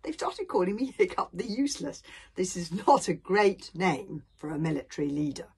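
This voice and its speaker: A woman's voice: fairly low pitch, sing-song, moderate pace, breathy edge, heavily acted. A woman voicing a gloomy, self-doubting young Viking boy in a storybook, complaining in a plaintive way. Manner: loud, plaintive protesting.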